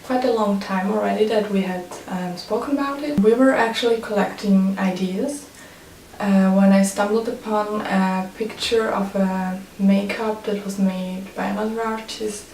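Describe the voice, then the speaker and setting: Swiss lady w swiss accent chatting hesitantly to camera, creaky voice, mic kinda far away, bit of hiss. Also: mid-range voice, darkish tone. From Switzerland. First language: German and French. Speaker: photographer. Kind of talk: casual personal vlog. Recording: roomy, distant mic, faint noise.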